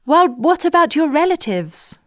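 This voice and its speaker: A gentle, devoted lady from a storybook, acted out by a narrator. The voice sits high and soft-hearted, with a tearful tenderness. The sound is dry and close. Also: big pitch swings, moderate pace, no echo. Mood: tender, concerned. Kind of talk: dramatised audiobook dialogue.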